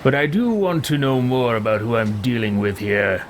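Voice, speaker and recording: A man voicing a cold, imperious, self-serving mage in a video game roleplay, with pompous, deliberate delivery. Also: mid-range voice, a little hiss or hum, natural voice. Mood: imperious, probing. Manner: pompous, deliberate, drawn-out words. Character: haughty, suspicious, self-serving male mage, coldly imperious.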